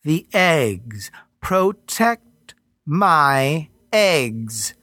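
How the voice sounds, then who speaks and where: A man voicing a commanding female earth spirit who speaks in slow, drawn-out, menacing words. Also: a little high, sing-song, sharp tone, dry recording, pitched above the performer's own voice, exaggerated voice.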